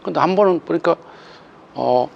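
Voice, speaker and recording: A man on a discussion panel, telling an anecdote slowly and easily. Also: fairly high pitch, vocal fry, lo-fi sound.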